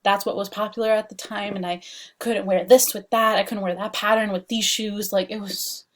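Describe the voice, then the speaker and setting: A woman's voice: medium pitch, fairly even intonation, moderate pace, a bit bright. Young woman chatting through a class presentation. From Washington DC, United States. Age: young adult. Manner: chatty, frequent "like" and "um" fillers. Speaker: student presenting an assignment. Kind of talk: casual recorded class presentation. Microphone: laptop webcam mic.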